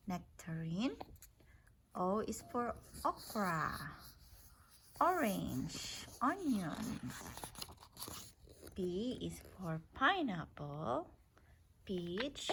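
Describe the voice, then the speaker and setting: Lively, slow-paced woman reading aloud. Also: medium pitch, noisy background. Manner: instructive, with unscripted asides to a child. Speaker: mother reading an alphabet picture book aloud to a child. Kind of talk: casual read-aloud, naming words slowly letter by letter.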